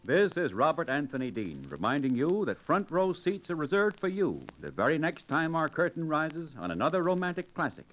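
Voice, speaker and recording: A man's voice: a little high, sing-song, a little fast, hardly any pauses, rough recording. A man reading a scripted sign-off as announcer in 1940s radio style. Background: music.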